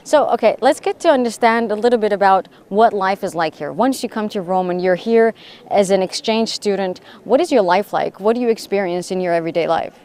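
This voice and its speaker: A woman's voice: mid-range voice, brisk, darkish tone. Woman reporter interviewing students live. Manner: friendly, prompting questions and restating answers. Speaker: news reporter hosting a live stream. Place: university campus in Rome.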